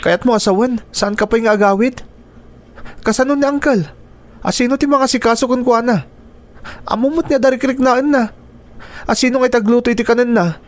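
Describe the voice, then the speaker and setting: A man's voice: very high-pitched, a little fast, pauses often. A man playing a worried, indignant young nephew who scolds, in an Ilocano radio drama. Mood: agitated, reproachful.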